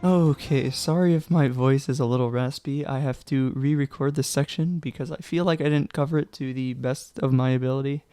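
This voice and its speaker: A man's voice: mid-range voice, fairly even intonation, brisk, few pauses, warm tone, clear, resonant tone, dry recording, soft background music. A young man narrating a casual game tutorial, chatty and joking, with loose fillers, a little sick. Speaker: gaming guide creator.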